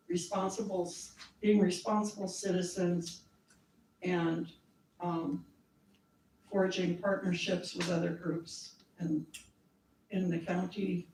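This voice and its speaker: Woman presenter, somewhat low and a little scratchy, friendly and chatty, caught from across a reverberant meeting room. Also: darkish tone, far from the mic. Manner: friendly, chatty, with small laughs and filler words. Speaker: speaker at a community meeting. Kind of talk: informal presentation to a group. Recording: echoey room, voice from off-mic.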